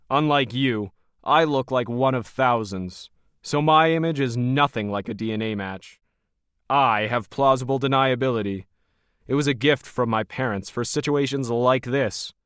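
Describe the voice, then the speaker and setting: A man's voice: mid-range voice, a little slow, fairly bright tone, smooth, dry recording, clean studio sound, natural voice. A man voicing a cold, arrogant rich villain in an audio story, pompous, sly and goading. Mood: taunting, probing. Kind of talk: audio fiction character dialogue.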